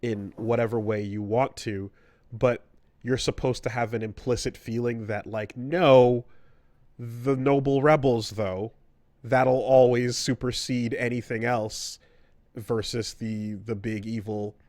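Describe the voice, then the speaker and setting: A male podcast co-host with a medium pitch, recorded dry and close. He talks casually and analytically, feeling his way through each point with halting, repeated words. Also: fairly even intonation, darkish tone, dry recording. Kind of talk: casual analytical chat about stories in games.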